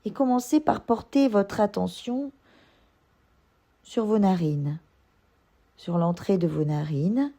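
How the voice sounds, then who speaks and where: A woman yoga instructor leading a guided relaxation meditation, giving gentle, soothing instructions. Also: mid-range voice, slow pace, pauses often.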